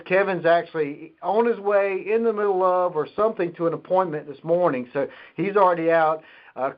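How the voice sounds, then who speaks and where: Male conference call host, slightly high voice, announcements and speaker introductions, muffled lo-fi line.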